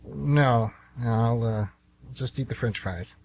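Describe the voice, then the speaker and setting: A man's voice: medium pitch, slow pace, creaky, darkish tone, slight background noise. A man playing a polite, understated hitman who poses as a friendly tourist in an amateur radio play. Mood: somber, distracted. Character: smooth, rueful male hitman posing as friendly tourist.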